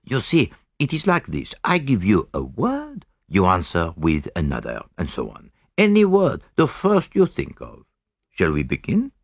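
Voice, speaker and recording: A shrewd, polite detective from an audiobook mystery, acted by a man. He has a French accent and a very animated, swooping delivery with a gravelly edge. He probes gently and deliberately, in a close, dry sound. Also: deep voice, dry recording, full character voice. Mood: polite, persuasive. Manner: courteous, deliberate, with questioning interjections. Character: shrewd, courteous foreign male detective, gently probing.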